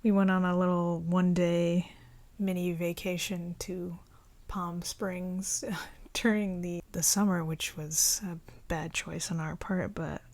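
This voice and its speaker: A woman speaking softly and often in a near whisper, in a relaxed, chatty ASMR show-and-tell. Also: lowish voice, slow pace, no room sound. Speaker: ASMR video creator showing a collection.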